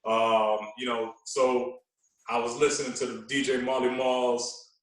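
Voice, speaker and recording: A man telling stories in an animated, casual way, using street slang and repeating himself for emphasis. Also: medium pitch, measured pace. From Queens, New York. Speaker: podcast guest, radio personality. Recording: very echoey room, distant from mic.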